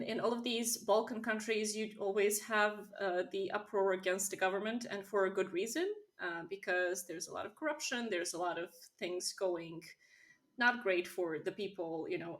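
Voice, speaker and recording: Woman chatting about herself in an interview, candid and informal, medium pitch. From Serbia. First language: Serbian. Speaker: interview guest, business co-founder. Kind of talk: conversational personal storytelling.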